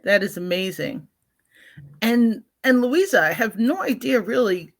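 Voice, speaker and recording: A woman's voice: a little high, animated, measured pace. A woman hosting a live online talk show, greeting viewers and introducing her guest with effusive enthusiasm. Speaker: host.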